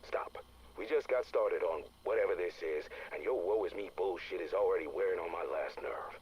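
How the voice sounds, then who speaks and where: An actor playing a blunt, impatient male soldier with a gruff, sardonic manner. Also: deep voice, normal speed, lo-fi sound, a little hiss or hum, lightly acted.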